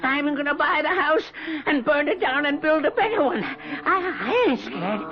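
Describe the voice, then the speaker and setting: An actor in a 1970s radio drama playing a stubborn, excitable colonial man with a folksy, blustering, stammering manner. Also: very high voice, normal speed, crowd noise. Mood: frightened bluster. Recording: muffled vintage radio, clear background noise.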